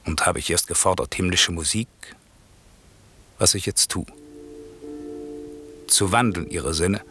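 A wronged sorcerer lord in a classical radio play. His voice is very low and he speaks slowly, with grave, declamatory weight. He sounds stern and commanding, yet bent on mercy. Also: sharp tone, soft background music. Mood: solemn, commanding. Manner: solemn, declamatory, measured. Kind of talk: German classical radio drama verse recitation.

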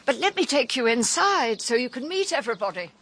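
An actress playing a gracious, wistful elderly gentlewoman with an upper-class English accent in a radio drama. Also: high voice, big pitch swings, a little fast, dry recording, heavily acted. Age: older.

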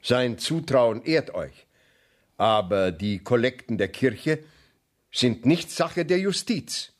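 An actor playing a stern, polite visiting court official in a radio play, husky, precise and reserved. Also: male voice, medium pitch, very animated, slow pace. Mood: cool, formal. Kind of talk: 1970s radio play acting.

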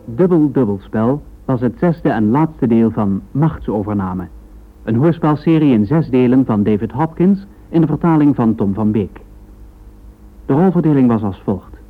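A man working as a radio drama announcer, reading scripted credits in a formal, deliberate way. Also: medium pitch, expressive. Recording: old narrow-band radio recording.

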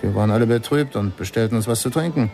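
An actor playing a musician fondly telling wry anecdotes of old band days in a radio play. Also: male voice, mid-range voice, quick pace, mellow, soft background music, close to an everyday voice. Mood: amused, nostalgic. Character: amused male musician reminiscing about old band days.

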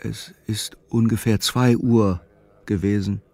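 A man narrating a literary audio drama in measured, clipped, matter-of-fact phrasing. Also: on the low side, fairly even intonation, a little mellow. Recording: music behind the voice. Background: music.

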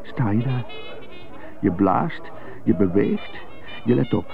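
Through a thin, phone-like recording with music behind, a brooding, indignant prisoner in a radio play. His pitch swings as he mutters questions to himself in short bursts, with a stutter that surfaces on some words. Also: lively intonation, slow, creaky, full character voice. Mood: bitter, brooding. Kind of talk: radio drama monologue. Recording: muffled narrow phone-like sound, music behind.

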